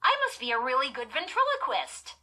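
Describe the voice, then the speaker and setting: A woman's voice: high voice, wildly swooping pitch, a little fast, pushed and bright, voice pushed up, full character voice. A woman voicing a mischievous young girl in a storybook, a teasing twin with a casual, offhand manner. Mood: gleeful, teasing.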